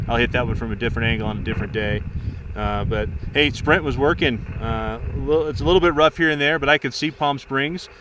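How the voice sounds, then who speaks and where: Male outdoor radio hobbyist, quick and easygoing, colloquial delivery, slight ambient noise behind. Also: medium pitch, sharp tone, poor audio. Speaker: amateur radio operator. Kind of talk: casual radio chat and outdoor vlog talk. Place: outdoors on a rocky mountain summit. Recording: faint outdoor background noise.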